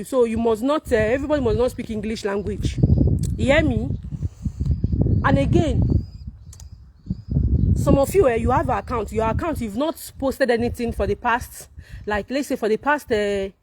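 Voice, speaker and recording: Nigerian woman, igbo first language, high voice, lots of repeating herself, noisy background. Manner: emphatic, repetitive, rhetorical questions. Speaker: content creator. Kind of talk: casual monologue to viewers.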